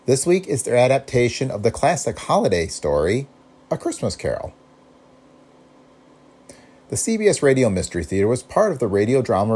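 A man's voice: on the low side, warm tone, breathy edge. A man hosting a podcast, reading a scripted introduction. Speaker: podcast host.

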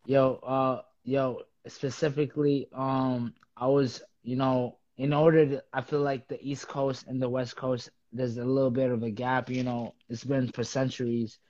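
A man's voice: medium pitch, no echo. Guy from uganda talking laid back with slang, dry kinda muffled audio. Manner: relaxed slang, halting restarts. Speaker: guest, music artist and event organizer. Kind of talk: casual chat.

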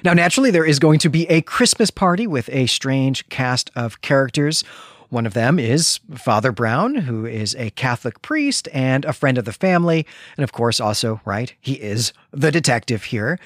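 A middle-aged man hosting a literary podcast, talking through a story in an emphatic, explanatory way with pauses mid-phrase. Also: medium pitch, big pitch swings, dry recording, clean studio sound. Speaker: podcast host. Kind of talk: conversational literary plot summary.

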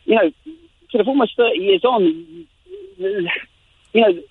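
A man's voice: extremely high pitch, a little slow, gravelly, husky, no echo. An English-accented man, a guest journalist talking animatedly in an interview, often restarting his sentences. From England. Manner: animated, frequent restarts and repetitions. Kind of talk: interview over phone line. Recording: tinny phone line.

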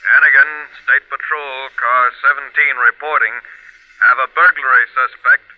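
A man's voice: mid-range voice, steady tone, moderate pace, pushed and bright, no room sound, low-quality recording. An actor playing a state patrolman giving a clipped, procedural radio report in an old drama. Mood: official. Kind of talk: formal police radio report.

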